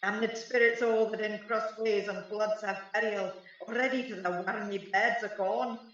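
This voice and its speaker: A woman's voice: mid-range voice, fairly even intonation, moderate pace, a bit bright, natural voice. A woman acting a mischievous, taunting fairy sprite in a Shakespearean radio play, speaking sing-song rhymed verse. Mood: ominous. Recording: roomy, echoing, distant, slightly dull.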